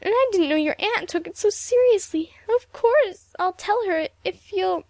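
Woman voicing a high, wheedling schoolgirl. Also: monotone, a little fast, darkish tone, no room sound. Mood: sobbing, defensive. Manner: wheedling, stammering, sniffling. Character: sly schoolgirl, falsely gentle, crumpling into tearful pleading.

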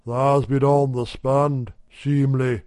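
A man voicing an easygoing working-class husband who speaks slowly in broad old dialect. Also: mid-range voice, flat delivery, darkish tone, smooth, no room sound, natural voice.

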